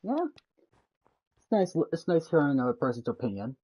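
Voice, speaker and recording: A man's voice: mid-range voice, big pitch swings, a little fast, warm tone, slightly rough. A man streaming a game, chatting casually with a friend. He gives short, laid-back replies and swears casually.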